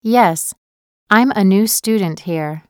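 An actress playing Beth, a polite young woman who is a new student, speaking with careful, clear pronunciation in a scripted lesson dialogue. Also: medium pitch, slow, dry recording, clean studio sound, lightly acted.

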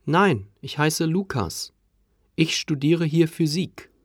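A man reading numbers and dialogue lines for a language course with clear, deliberate enunciation. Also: a little high, expressive, dry recording, studio quality. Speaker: course audio speaker. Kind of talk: slow textbook reading.

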